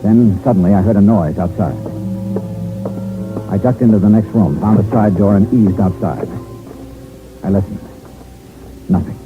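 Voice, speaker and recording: A man's voice: lowish voice, moderate pace, long pauses, over music, close to an everyday voice. An actor playing a wry, hard-boiled investigator narrating his case in a clipped old radio detective style. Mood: grave, tense. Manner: clipped, wry first-person storytelling. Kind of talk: 1950s-60s radio detective drama narration. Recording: muffled old-time radio recording.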